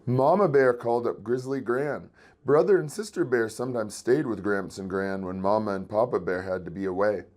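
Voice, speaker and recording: A man reading a children's story aloud in an expressive storytelling style. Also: lowish voice, moderate pace. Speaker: children's book reader.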